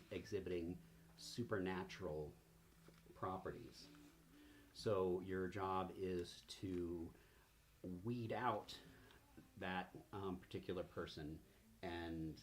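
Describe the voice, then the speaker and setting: Male game master, deep voice, unhurried and halting delivery, tabletop session narration. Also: a little hiss or hum. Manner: halting, searching for words.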